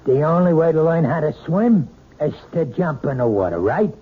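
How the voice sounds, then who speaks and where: An actor playing an overbearing, curt husband in an old radio drama. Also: male voice, on the high side, sing-song, normal speed, slightly rough. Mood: domineering. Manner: curt, domineering. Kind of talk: 1970s radio drama acting. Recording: dull old radio broadcast with faint hiss.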